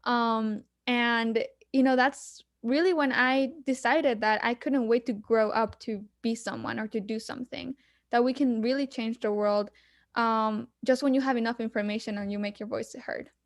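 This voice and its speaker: A woman's voice: fairly high pitch, steady tone, no room sound. Earnest young woman, dry sound. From Mexico. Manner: earnest, measured, frequent ums. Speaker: guest climate activist. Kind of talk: panel discussion answers.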